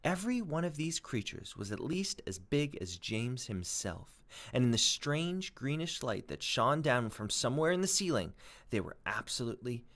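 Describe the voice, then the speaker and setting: A man reading aloud as a teacher, expressive and dramatic, with emphatic pauses. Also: mid-range voice. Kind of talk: expressive storybook read-aloud and lesson.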